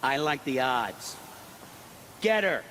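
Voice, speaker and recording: An actor playing a cocky but cowardly bandit swindler in a video game, with a theatrical, blustering manner. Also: male voice, medium pitch, sing-song, takes it slowly, fairly bright tone, slight background noise. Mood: gloating. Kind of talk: cinematic game dialogue.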